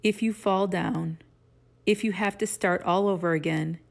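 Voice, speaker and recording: A woman's voice: fairly low pitch, fairly even intonation, unhurried, creaky. A middle-aged woman giving a children's read-aloud and casual instruction, speaking to young listeners in simple, encouraging phrases. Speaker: teacher reading a picture book aloud to children.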